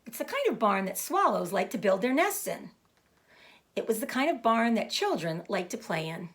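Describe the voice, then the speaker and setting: A woman reading a children's book aloud as a storyteller, with deliberate phrasing meant for young listeners. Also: mid-range voice, big pitch swings, a bit bright. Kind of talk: expressive children's book narration.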